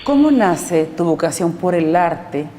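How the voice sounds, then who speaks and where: A woman's voice: fairly low pitch, very animated, slow, dark tone. A woman hosting an interview, introducing her guest and asking polite questions. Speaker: interviewer host. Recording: music behind.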